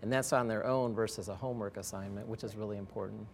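A man's voice: lowish voice, fairly even intonation, fast talker, vocal fry, slight background noise. A man, a school educator, answering interview questions in a plainspoken way, repeating phrases for emphasis.

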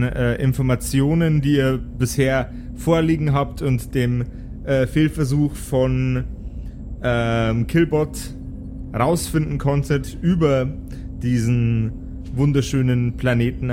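Male game master over clear background noise. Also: mid-range voice, fairly even intonation, measured pace, a little mellow. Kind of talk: improvised tabletop game narration.

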